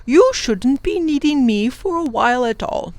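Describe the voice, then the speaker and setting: A woman voicing a kind, wise elderly male professor who speaks in a warm, reassuring and firm way. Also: high voice, sing-song, normal speed, no room sound, voice pushed up. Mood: encouraging.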